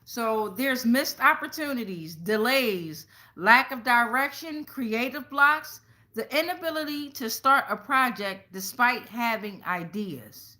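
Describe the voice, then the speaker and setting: Casting: American woman as tarot host, sharp bright tone, fairly high pitch, casual, blunt and slangy delivery. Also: animated, slow. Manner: blunt, slangy, freely swearing. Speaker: tarot reader and show host. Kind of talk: casual tarot reading to viewers.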